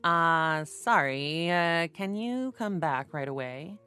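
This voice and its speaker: A woman's voice: low-pitched, slow pace, sharp tone, clean tone, faint music behind, exaggerated voice. A woman narrator voicing a flustered, haughty businessman who stammers and pleads in a story. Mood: pleading, hesitant. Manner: hesitant, stammering.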